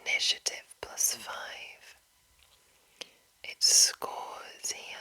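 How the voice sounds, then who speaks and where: Whispering, slow-paced woman doing ASMR. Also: bright, forceful tone, rough recording. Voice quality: breathy whisper. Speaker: ASMR host reading a monster manual.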